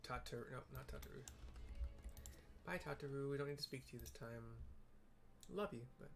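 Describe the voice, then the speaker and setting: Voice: medium pitch, normal speed, creaky, music in the background. A video game streamer giving casual, offhand gameplay commentary, thinking aloud.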